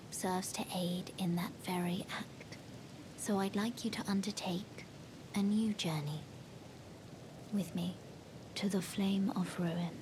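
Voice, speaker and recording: Slow, hushed, solemn maiden guide. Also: female voice. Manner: measured, solemn, restrained. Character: solemn young maiden guide, quiet, mysterious, resolute. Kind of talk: hushed, formal fantasy game acting. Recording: loud rumbling background noise.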